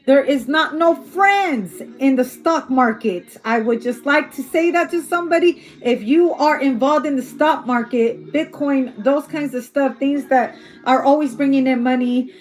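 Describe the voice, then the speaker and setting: A woman's voice: sits high, unhurried, few pauses. A woman doing a casual tarot reading who is losing her voice, talking bluntly and swearing freely. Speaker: tarot reader.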